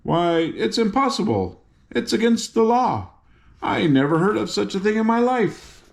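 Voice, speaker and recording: Guy doing a fussy old-fashioned storybook gatekeeper, high flustered voice, folksy and genial. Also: big pitch swings, measured pace, creaky, full character voice. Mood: astounded, scolding.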